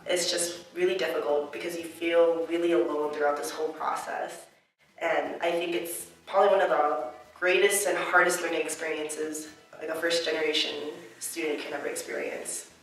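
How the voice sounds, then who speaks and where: A woman's voice: deep voice, little pitch movement, normal speed. A young adult woman, a college student whose first language is Chinese, answering interview questions in a reflective, casual way with many "like" fillers. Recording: echoey room, mic far off.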